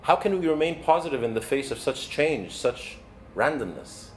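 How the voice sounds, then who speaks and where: A man from Egypt whose first language is Arabic speaks as a keynote coach. His mid-pitched voice is earnest and measured, with deliberate phrasing. Faint background noise sits behind the speech. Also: big pitch swings. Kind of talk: motivational keynote talk. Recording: background noise, ambient sound.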